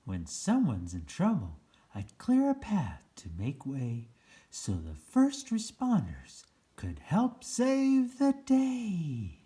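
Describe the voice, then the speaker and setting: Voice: medium pitch, wildly swooping pitch, slow, mellow. A storyteller reading a children's picture book aloud in a theatrical, playful way.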